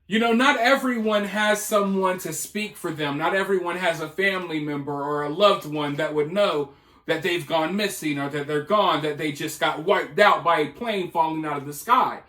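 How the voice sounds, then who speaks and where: Male news commentator, high register, informal and speculative delivery, noticeably echoey sound. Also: moderate pace, pushed and bright. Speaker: video channel commentator. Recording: roomy, echoing voice.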